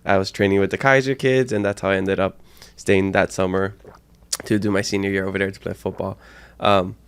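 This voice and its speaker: A young adult man, hosting a podcast in a relaxed, easygoing way with local phrasing. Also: low voice, brisk, smooth. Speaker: podcast host. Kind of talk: casual conversational interview.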